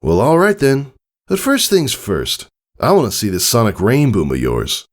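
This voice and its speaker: A man's voice: medium pitch, huge pitch swings, normal speed, voice pushed up. A man voicing an easygoing father pegasus in a story, proud, teasing and encouraging in a casual, upbeat way.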